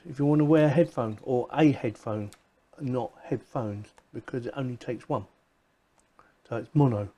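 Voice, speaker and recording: A man's voice: a little high, warm tone. A man presenting a casual hands-on gadget unboxing, chatting informally and thinking aloud as he goes. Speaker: video presenter reviewing gadgets.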